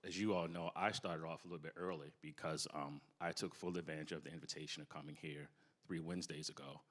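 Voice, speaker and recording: A man's voice: rumbling low, steady tone, fast talker, fairly bright tone. A man, a church member, giving an earnest spoken testimonial.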